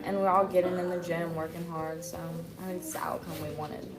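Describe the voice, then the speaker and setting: A woman's voice: fairly low pitch, steady tone, a little fast. A teenage girl basketball player giving brief, hesitant answers in an interview. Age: teens. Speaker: high school basketball player. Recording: echoey gym with loud crowd.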